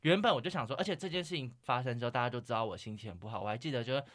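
A young man with a Taiwanese Mandarin accent chatting casually as a podcast host, telling stories in an animated way with English words mixed in. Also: medium pitch, racing. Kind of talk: casual anecdote chat.

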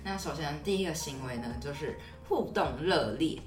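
Low-voiced woman podcast host, roomy sound. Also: animated, normal speed, music in the background. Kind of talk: conversational podcast explaining dating advice. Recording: roomy echo, slightly distant mic.

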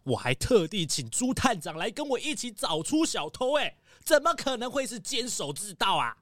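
A woman playing an excitable, easily astonished animal character in a children's radio play, exclamatory and theatrical. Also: fairly high pitch, moderate pace, no room sound. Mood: protesting.

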